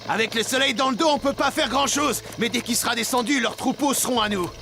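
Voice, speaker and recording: A man's voice: very high-pitched, fast, gravelly. A man voicing a fierce, defiant warrior in a French biblical cartoon, with dramatic, declamatory delivery. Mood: menacing. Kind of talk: French animated cartoon dubbing. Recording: music and crowd behind the voice.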